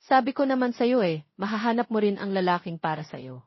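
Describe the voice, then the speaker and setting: A woman narrator plays a sweet young woman cheering on a friend in an audio drama. Her manner is effusive and supportive. The recording is dry, with dulled highs. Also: lively intonation, brisk, no room sound, dull audio, lightly acted. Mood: admiring, encouraging. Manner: effusive, encouraging.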